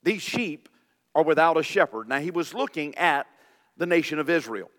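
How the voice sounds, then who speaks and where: Hoarse deep-voiced pastor in a reverberant church. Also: male voice, expressive, normal speed, a bit bright. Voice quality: deep, raspy voice. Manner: reads scripture aloud, informal asides. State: hoarse from illness. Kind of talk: sermon. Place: church sanctuary. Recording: long reverb of a church hall.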